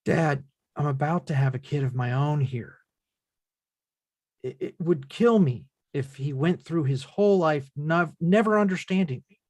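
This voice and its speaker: An earnest, frustrated grown son played by a man, with a slightly high voice. The sound is close and dry, and the delivery is naturalistic drama from a script read. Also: unhurried, a little mellow, dry recording. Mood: pleading. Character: frustrated, earnest adult son confronting his father. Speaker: actor in a screenplay table read.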